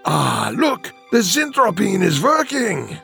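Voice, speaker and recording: A man's voice: sits high, huge pitch swings, takes it slowly, gravelly, a bit bright, faint music behind, heavily acted. An actor playing an eccentric, scheming male scientist with a theatrical manner in a campy audio drama. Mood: excited, nervous.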